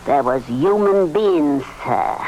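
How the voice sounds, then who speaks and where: A woman's voice: low voice, lively intonation, highs cut off. An actress playing an older village woman, insinuating yet deferential, in an old radio drama. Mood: darkly insinuating. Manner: insinuating, deferential, drawn-out hints. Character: sly, gossiping rustic older village woman. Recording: vintage radio recording, faint noise.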